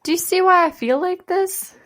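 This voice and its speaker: A woman's voice: very high-pitched, normal speed, fairly bright tone. An actress playing a nervous, self-mocking young woman who feels queasy, in a radio play. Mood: anxious. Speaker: actor.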